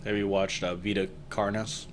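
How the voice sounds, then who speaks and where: A man's voice: lowish voice, level tone, unhurried. A man hosting a podcast in casual, informal conversation. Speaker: podcast host. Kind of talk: casual chat.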